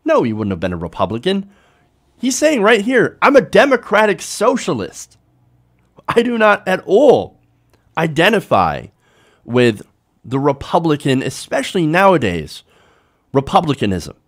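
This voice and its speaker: A man's voice: a little high, huge pitch swings, measured pace, long pauses. An American man hosting opinionated political commentary, speaking emphatically and punctuating his points with rhetorical questions.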